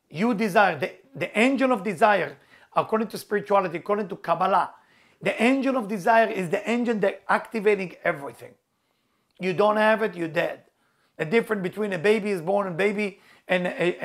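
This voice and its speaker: A man's voice: high voice, vocal fry. A middle-aged man whose first language is Hebrew gives an earnest spiritual motivational talk, repeating key words emphatically in non-native phrasing. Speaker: spiritual teacher.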